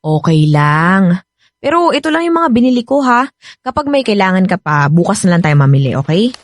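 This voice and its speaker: A woman's voice: mid-range voice, very animated, normal speed, no echo, clean studio sound, lightly acted. An actress playing a chatty, frank, protective female cousin in a Filipino radio drama, with a Filipino accent. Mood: friendly, chatty.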